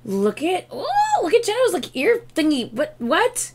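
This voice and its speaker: A woman in her thirties, hoarse from losing her voice, chatting excitedly in a casual reaction vlog at her desk at home. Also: very high-pitched, unhurried. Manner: excitable, chatty, gushing with laughs and exclamations. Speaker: K-pop reaction YouTuber. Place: home room with shelves of albums and collectibles, indoors. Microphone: webcam framing at desk.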